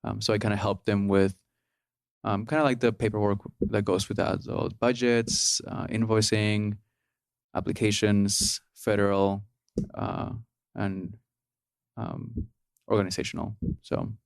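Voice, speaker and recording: Podcast guest in his thirties, from Peru, low gravelly voice, halting with fillers, dry close mic. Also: little pitch movement, no room sound. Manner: hesitant, many ums and restarts. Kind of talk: casual interview.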